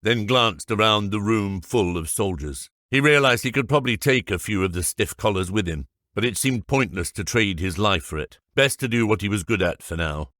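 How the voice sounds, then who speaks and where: A man's voice: lowish voice, lively intonation, moderate pace, hardly any pauses, fairly bright tone, dry recording, studio quality. A man reading scripted narration for a fantasy audiobook.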